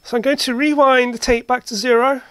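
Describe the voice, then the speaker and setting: A woman narrating a hands-on repair demonstration casually, thinking aloud in short, halting phrases. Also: high-pitched, steady tone, clean tone. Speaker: hobbyist repair video maker. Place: indoor workshop at a workbench.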